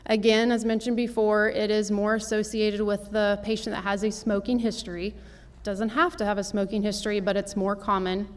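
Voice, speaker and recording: A woman's voice: medium pitch, level tone, moderate pace. A woman nurse practitioner presenting slides at a conference, explaining the clinical details steadily. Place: conference hall. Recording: long hall reverb.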